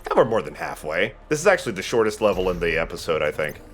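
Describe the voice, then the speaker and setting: Lively low-voiced man playing a game. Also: quick pace, slight background noise. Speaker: let's play host playing the game. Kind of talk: casual gaming commentary and banter. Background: game music.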